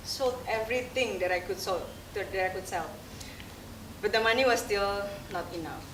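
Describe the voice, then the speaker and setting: Young Indonesian-accented woman on a stage. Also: medium pitch. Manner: candid, self-mocking storytelling with pauses. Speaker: theater performer. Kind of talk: solo stage monologue. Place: dark theater stage. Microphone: distant room mic. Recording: roomy stage sound from far off, audible noise.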